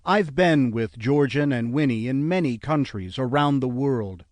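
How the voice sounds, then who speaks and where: A man narrating an audiobook in a measured, earnest reading style, close to a studio mic. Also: medium pitch, expressive, a little mellow, dry recording. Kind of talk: scripted narration.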